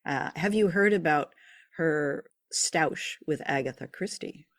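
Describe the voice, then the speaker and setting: A woman's voice: deep voice, a little slow, no room sound, studio quality. A woman co-hosting a show, chatting informally and telling stories about old radio drama. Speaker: podcast co-host.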